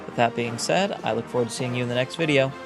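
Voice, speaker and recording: A man's voice: mid-range voice, quick pace, over loud music. A man giving casual, explanatory commentary as a gaming video host, feeling his way through his phrases with some repetition. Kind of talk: casual game lore commentary.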